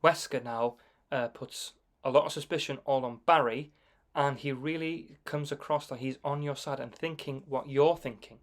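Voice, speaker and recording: A man's voice: mid-range voice, fairly even intonation, moderate pace. A man giving conversational, informative commentary that explains video game scenes.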